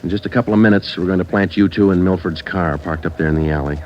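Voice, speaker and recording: A man's voice: low-pitched, fast talker, a little hiss or hum, lightly acted. An actor playing a terse, businesslike police sergeant narrating his case in a mid-century radio crime drama, clipped and matter-of-fact. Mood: calm, firm. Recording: dull old radio broadcast with music.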